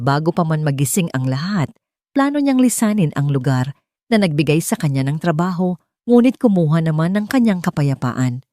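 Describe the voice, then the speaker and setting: A woman's voice: low voice, a little mellow, no room sound, studio quality. A woman reading a scripted dramatic audiobook as the story's narrator.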